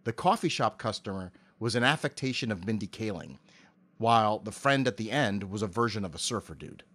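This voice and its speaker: A middle-aged man giving articulate, measured explanations as a voice actor being interviewed. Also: lowish voice, expressive, a bit bright.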